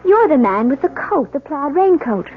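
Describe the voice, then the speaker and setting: A woman's voice: high-pitched, big pitch swings, normal speed, a little hiss or hum. A woman playing an anxious, suspicious newlywed wife in a radio drama, speaking politely and hesitantly. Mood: wary. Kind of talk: 1970s radio drama acting. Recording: tinny band-limited old radio, music behind.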